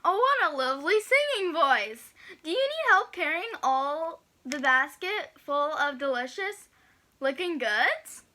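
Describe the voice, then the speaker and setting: Sly hungry wolf, acted by a woman, high bright voice, coaxing, theatrical, children's storybook character. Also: very animated, measured pace, exaggerated voice. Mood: wheedling, sly.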